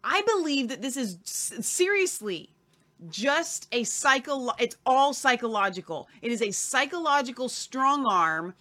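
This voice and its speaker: A woman's voice: high voice, very animated, measured pace, sharp tone. A woman co-host talking in a casual, opinionated way, emphatic and full of repeated questions.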